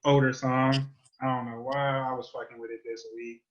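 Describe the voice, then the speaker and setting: Playful American man, mid-pitched, slangy. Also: little pitch movement, normal speed, dull audio. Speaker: podcast co-host. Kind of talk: casual chat.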